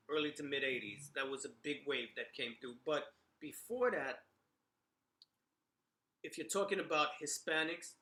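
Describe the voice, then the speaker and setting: A man's voice: medium pitch, pushed and bright, roomy sound. A middle-aged man from the Bronx, telling memories in a casual monologue full of "you know" and "right?". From New York, Bronx. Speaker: commentator sharing memories.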